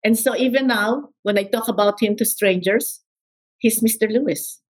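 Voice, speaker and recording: Older Filipino woman with a husky voice. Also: on the high side, normal speed. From Philippines. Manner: emphatic, repeats words, quotes others. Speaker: interview guest. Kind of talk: storytelling interview.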